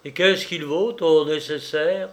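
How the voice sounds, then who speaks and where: A man reading aloud and voicing a passionate young lover who pleads and declaims in a slow storybook reading. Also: on the high side, steady tone. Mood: resigned.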